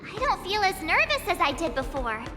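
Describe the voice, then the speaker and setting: A woman's voice: very high-pitched, sing-song, brisk. A woman voicing a cheeky, upbeat young female swordswoman in a game cutscene, chirpy and self-assured. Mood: relaxed. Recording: reverberant mix with game music.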